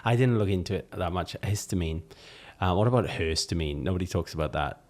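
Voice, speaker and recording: A man's voice: low-pitched, fairly quick. A man, co-hosting a casual podcast, rambling and hesitant. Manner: rambling, hesitant, lots of fillers and laughs. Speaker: podcast co-host, musician. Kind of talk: casual chat.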